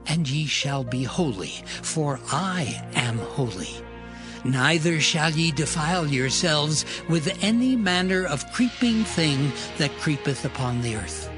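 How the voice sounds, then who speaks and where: A man plays a solemn male deity laying down holy law in an audio scripture drama. The delivery is very slow, measured and declamatory, at a slightly raised male pitch. Music plays clearly behind the voice.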